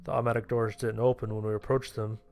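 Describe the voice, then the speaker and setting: A man's voice: on the low side, level tone, fast talker, creaky, a little mellow. A man playing a sheltered, anxious young survivor, narrating his story in the first person in a plain-spoken way. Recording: music behind the voice.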